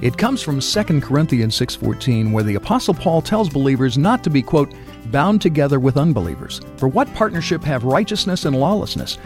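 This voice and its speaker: A man's voice: medium pitch, very animated, brisk, a little mellow. A man hosting a radio program, reading a scripted introduction. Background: music.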